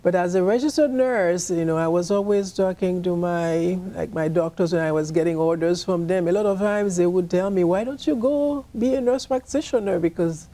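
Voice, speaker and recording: A middle-aged woman from Haiti, a nurse practitioner, speaking casually and a little haltingly as an interview guest. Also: fairly low pitch, mellow. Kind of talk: casual personal storytelling in an interview.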